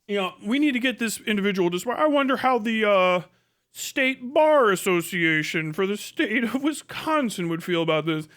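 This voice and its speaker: Guy with a pretty high voice streaming, rambles on, swears a lot, really blunt. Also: animated, moderate pace, a bit bright. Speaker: livestream host. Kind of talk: casual livestream commentary.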